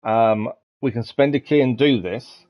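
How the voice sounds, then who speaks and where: Casual male streamer, mid-pitched, musing his way through gameplay on a dull microphone. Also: a little slow. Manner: musing aloud, hesitant. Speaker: game live streamer. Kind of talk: casual live gameplay commentary. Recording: dull lo-fi mic with game music. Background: game music.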